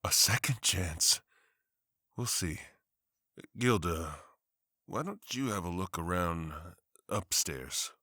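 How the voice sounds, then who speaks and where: An earnest, protective husband in a comic book drama. His low voice is measured and slow, with a guarded intensity under the care. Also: big pitch swings, a bit bright, dry recording, studio quality, heavily acted. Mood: tender, guarded.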